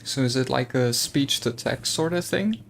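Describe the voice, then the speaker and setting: A man's voice: medium pitch, lively intonation, moderate pace, creaky, a little hiss or hum. A man streaming a game, chatting casually, thinking aloud and talking to his chat. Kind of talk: casual gameplay commentary.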